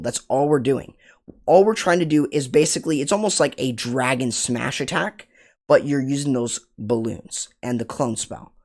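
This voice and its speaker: A man with a voice roughened to a rasp talks through a game strategy guide in a relaxed, chatty way. His pitch sits in an ordinary middle range, and he explains each step plainly, often correcting himself mid-sentence. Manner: casual, explanatory, self-correcting. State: raspy voice. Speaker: gaming channel host.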